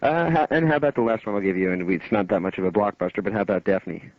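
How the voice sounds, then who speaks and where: Male radio host, quick casual interviewer, lower voice, dull lo-fi broadcast sound.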